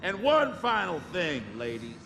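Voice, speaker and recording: An actor playing a belligerent military drill instructor in a video game, barking orders and insults in a commanding manner. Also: male voice, high voice, huge pitch swings, measured pace, noisy background, exaggerated voice. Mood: mocking, stern. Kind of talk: video game cutscene acting.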